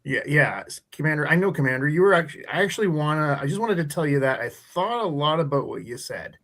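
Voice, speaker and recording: A man's voice: fairly high pitch, animated, fast, fairly bright tone. Man playing an earnest nerdy teenage superfan. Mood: starstruck, earnest. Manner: stammering, earnest, formal nerdy phrasing. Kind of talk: live radio play read.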